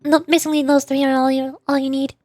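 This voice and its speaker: A woman's voice: sits high, flat delivery, fast, mellow, no room sound, soft background music. A woman streaming a video game and chatting casually through gameplay commentary. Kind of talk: casual gaming livestream commentary.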